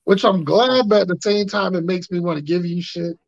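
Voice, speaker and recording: A man in his thirties with a raspy voice, hosting a podcast and telling stories in casual chat, acting out quotes. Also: high voice, a little fast, dry recording. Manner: animated, acts out quotes in funny voices. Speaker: podcast host. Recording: dry muffled lo-fi sound.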